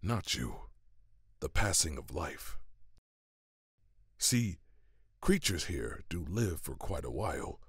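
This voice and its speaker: An actor voicing a proud, meddling god and brother, theatrical, persuasive and easily indignant, in an audio drama. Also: male voice, extremely low pitch, sing-song, slow pace, pauses often, husky, dry recording, clean studio sound, exaggerated voice. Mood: scheming, persuasive.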